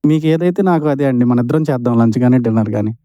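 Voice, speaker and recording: A man chats casually on a phone call. His voice is soft-edged and mellow at a medium pitch, and he talks fast in a dry, close sound. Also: no echo. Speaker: boyfriend receiving a prank call.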